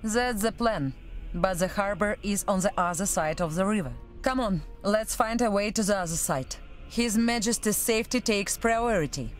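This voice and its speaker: A woman's voice: medium pitch, normal speed, faint music behind, close to an everyday voice. Woman acting as resolute, commanding female officer. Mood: measured. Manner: urgent, commanding, earnest. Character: loyal, resolute female military officer and former security chief. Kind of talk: video game cutscene acting.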